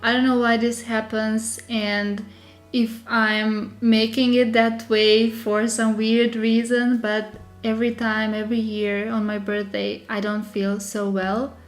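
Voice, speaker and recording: Unhurried, higher-voiced Serbian-accented woman, slightly unwell. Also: little pitch movement, faint music behind. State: slightly unwell with a sore throat. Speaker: digital journaling creator hosting a live stream. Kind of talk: casual live tutorial chat.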